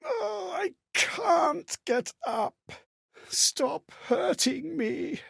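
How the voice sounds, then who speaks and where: An actor playing an exhausted, frightened young child forced to work, pleading weakly in a video game. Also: male voice, very high-pitched, sing-song, gravelly, fairly bright tone, dry recording, studio quality, exaggerated voice. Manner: pleading, weak, slow. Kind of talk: acted video game memory.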